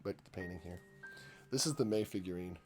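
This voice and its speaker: A man's voice: low-pitched, quick pace, music in the background. Congested, chatty man streaming while painting. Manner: rambling, chatty, many restarts and asides to chat. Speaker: painter and livestreamer. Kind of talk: casual live-stream chat while painting. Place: home art studio.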